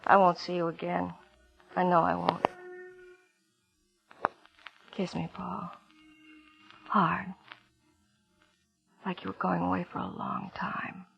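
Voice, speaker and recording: Bitter young woman, low creaky voice, wry, vulnerable, vintage radio drama, dull recording. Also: flat delivery, slow, long pauses, full character voice. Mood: pleading, wistful. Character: bitter, world-weary young woman with a troubled past. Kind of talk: 1950s radio drama acting. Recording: dull old radio recording, music underneath.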